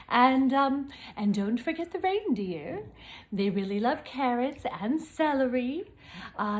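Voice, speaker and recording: Slow, high-voiced woman reading to little children. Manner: chatty asides to young children, chuckling. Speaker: storyteller presenting as Mrs Claus. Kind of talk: children's picture-book read-aloud.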